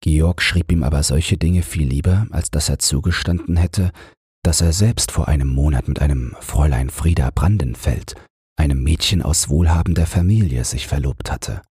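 Man, very deep voice, breathy and husky, calm audiobook narration, dry sound. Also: moderate pace, dry recording. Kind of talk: slow bedtime audiobook narration of literary prose.

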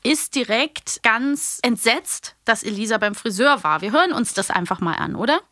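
Female podcast co-host: higher-pitched, casual conversational delivery, very dry studio sound. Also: expressive, moderate pace, sharp tone, no room sound. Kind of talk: casual chat reviewing audio dramas.

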